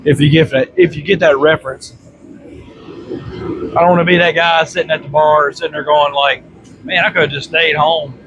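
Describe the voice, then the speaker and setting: A man's voice: on the high side, normal speed, pauses often. A congested man with a chesty voice, chatting casually and rambling in a folksy, colloquial way as he hosts a vlog. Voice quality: congested, chesty with frequent throat clearing. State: congested from bronchitis. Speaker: vlog host. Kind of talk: casual rambling chat to camera. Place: workshop. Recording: steady background noise from running heater. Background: heater noise.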